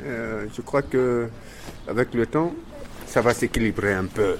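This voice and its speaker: A man's voice: mid-range voice, a little slow, a loud crowd. A man giving his opinion in a street interview, hesitant and searching for words. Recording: noisy location with ambient sound.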